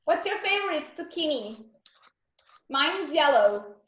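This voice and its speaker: High-voiced Serbian woman teaching cooking. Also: sing-song, a little slow, a bit bright, heavy reverb, muffled recording. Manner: earnest, encouraging, gives step-by-step instructions. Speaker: raw vegan chef teaching a cooking class. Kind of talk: instructional cooking class.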